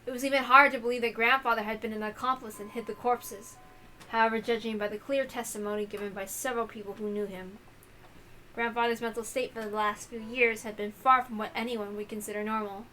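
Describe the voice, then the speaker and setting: Female narrator for a dramatic visual novel read-through, high-pitched and bright, slight noise on the recording. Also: little pitch movement, a little fast, low-quality recording.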